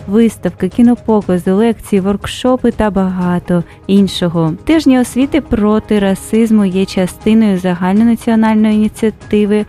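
A woman presenter speaking in a measured, scripted broadcast style. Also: medium pitch, mellow. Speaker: presenter and interviewer.

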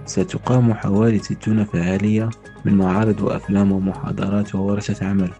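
A man reads scripted news very quickly in a low, mellow voice. His delivery stays even, with music playing behind him. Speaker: news reader.

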